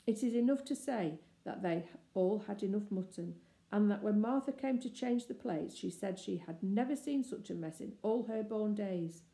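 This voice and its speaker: Lady reading a kids' storybook, really soft dark tone, roomy echo. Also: medium pitch, creaky. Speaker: storybook reader. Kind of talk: expressive narration of a children's story. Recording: roomy, echoey indoor sound.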